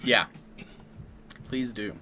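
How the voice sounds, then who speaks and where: A man's voice: medium pitch, extremely slow, pushed and bright, faint music behind. Laid-back man cracking dry quips. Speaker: co-host of a livestream Q&A. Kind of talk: casual livestream chat.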